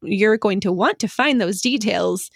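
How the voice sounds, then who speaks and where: A woman hosting a podcast in a lively, casual group chat, with a playful, giggly manner. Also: medium pitch, unhurried, creaky, no echo, clean studio sound. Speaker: podcast host.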